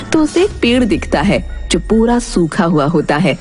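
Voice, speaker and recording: A woman's voice: fairly low pitch, very animated, normal speed, darkish tone. A woman narrating a scripted cartoon story as the storyteller. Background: music.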